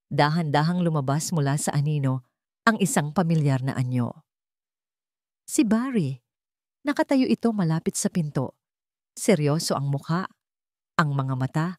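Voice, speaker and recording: Low-pitched Filipino woman narrating drama, dry sound. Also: darkish tone, no room sound. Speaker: storyteller. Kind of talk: scripted dramatic narration of a Tagalog romance drama.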